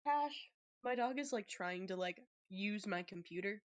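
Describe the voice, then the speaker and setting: A woman's voice: medium pitch, expressive, measured pace, no room sound. A woman playing in a tabletop role-playing game, chatting in a playful, teasing way and laughing readily. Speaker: player. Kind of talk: casual tabletop game chat.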